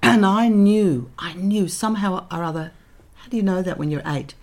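Middle-aged woman guest, very low and gravelly, reflective storyteller with dry wit. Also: normal speed, a little mellow. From Netherlands. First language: Dutch. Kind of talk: candid interview conversation.

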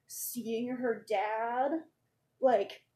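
A woman's voice: on the high side, fairly even intonation, very slow, warm tone. A woman co-hosting a podcast, recapping a movie in casual chat, full of fillers and acted-out quotes. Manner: chatty, filler-heavy, acts out quotes. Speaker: podcast co-host.

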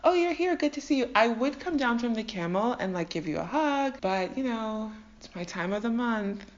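Sly young daughter, played by a storyteller woman: raised pitch, breezy fake innocence, comic story, lo-fi. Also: lively intonation, normal speed, lightly acted. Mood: breezy feigned innocence. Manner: breezy, falsely casual. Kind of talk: comedic storytelling dialogue.

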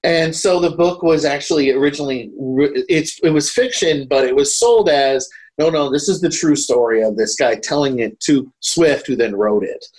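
A man talking casually as a podcast co-host, haltingly, often repeating and correcting his words. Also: on the high side, a little mellow, breathy edge, low-quality recording. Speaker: podcast co-host reviewing horror films. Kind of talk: casual film discussion.

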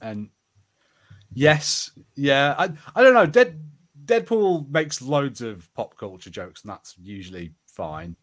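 A man's voice: medium pitch, big pitch swings, takes it slowly. A man co-hosting a podcast, talking in casual, unscripted chat. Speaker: podcast co-host.

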